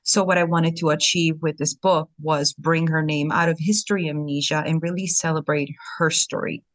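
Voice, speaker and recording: A middle-aged woman from southern Italy speaks as a podcast guest. Her voice is notably low, and she explains things in a calm, measured way. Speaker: guest author. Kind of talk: interview answers.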